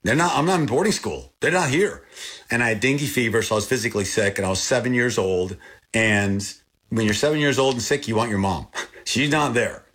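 A man's voice: medium pitch, brisk. An older man raised in Thailand with Thai as his first language, talking earnestly as an interview guest and lifting his voice when he recalls things. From born in Texas, raised in Thailand. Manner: earnest, animated when recalling, repeats and restarts. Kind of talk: reflective interview talk.

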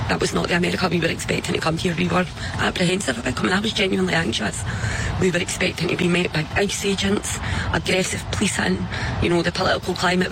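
Fast, creaky woman. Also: lowish voice. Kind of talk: candid casual talk. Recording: noisy clip with vehicle ambience.

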